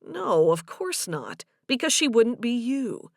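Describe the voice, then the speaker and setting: A woman voicing an earnest, gentle man in love in audiobook dialogue, with a sincere, measured delivery. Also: a little high, very animated, vocal fry, no room sound, clean studio sound, full character voice. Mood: confessing.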